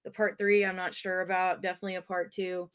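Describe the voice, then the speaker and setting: A woman's voice: lowish voice, flat delivery, fast, fairly bright tone, clean tone. A woman hosting a livestream in casual, conversational chat. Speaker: stream host taking a call. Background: music behind the voice.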